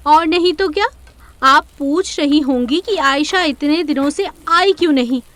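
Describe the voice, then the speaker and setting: A woman's voice: high voice, a little slow, fairly bright tone, no echo. A woman playing a crafty married neighbour in an audio drama, sweetly insinuating and knowing. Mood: knowing, teasing. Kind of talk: Hindi audio drama acting.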